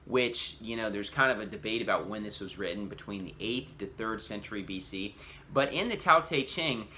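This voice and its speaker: A man's voice: lowish voice, bright, forceful tone. A man preacher giving an earnest teaching talk, explaining step by step with frequent restarts and "you know".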